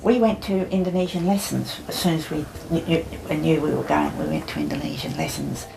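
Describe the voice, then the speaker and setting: An older woman with a gravelly voice recalling volunteer English teaching. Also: deep voice, lively intonation, fairly quick, music in the background, low-quality recording. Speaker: church volunteer. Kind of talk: interview testimony.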